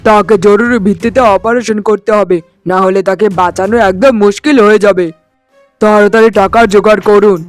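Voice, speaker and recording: A man's voice: high voice, fairly even intonation, fairly quick, smooth, faint music behind, natural voice. A man voicing an urgent, businesslike doctor in a cartoon drama. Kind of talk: cartoon voice acting.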